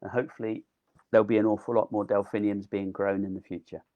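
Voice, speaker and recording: A man's voice: on the low side, flat delivery, fast talker, narrow, tinny audio. Man giving a chatty gardening talk. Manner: chatty, enthusiastic, with frequent ums. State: losing his voice. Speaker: delphinium grower giving a talk. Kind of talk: casual live online talk.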